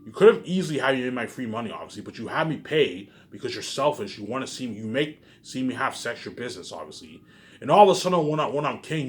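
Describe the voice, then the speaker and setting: A man's voice: mid-range voice, a bit bright. A man in an agitated, confrontational unscripted rant, repeating himself and swearing often. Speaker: online video maker.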